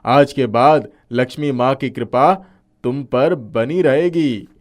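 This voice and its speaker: A man's voice: mid-range voice, takes it slowly, natural voice. A man voicing a frail, benevolent old holy man in a cartoon moral story, solemn and blessing. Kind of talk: animated moral story acting.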